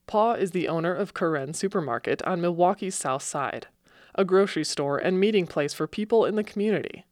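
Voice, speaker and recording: A woman hosting a public radio program, reading scripted narration in a measured way. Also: on the low side, darkish tone, no room sound, clean studio sound. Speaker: radio host. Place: radio studio.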